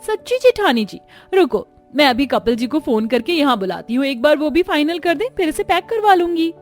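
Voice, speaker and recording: A woman's voice: high-pitched, big pitch swings, brisk, faint music behind, voice pushed up. A woman voicing a haughty, boastful rich young bride-to-be in a cartoon, talking in a showy, condescending way. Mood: excited.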